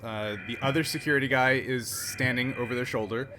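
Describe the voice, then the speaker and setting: A man narrates a tabletop game as its game master. His voice sits at a middling pitch and he hesitates as he thinks through rulings. There is clear noise in the recording. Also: fairly even intonation, brisk. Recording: clearly noisy background.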